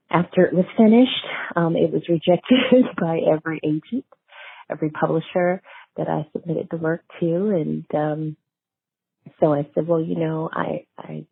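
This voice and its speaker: A woman's voice: low-pitched, vocal fry, husky. A middle-aged woman, telling her story conversationally as an interview guest. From small town in eastern North Carolina, USA. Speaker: guest author. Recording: tinny phone line, roomy echo.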